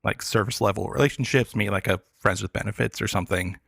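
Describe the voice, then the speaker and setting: A man chatting casually about the arts on a podcast, explaining things in a halting way with lots of filler words. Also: on the low side, vocal fry, a bit bright, no echo, clean studio sound. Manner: hesitant, filler-heavy explaining. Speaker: podcast guest reviewing pop albums. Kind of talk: casual arts talk chat.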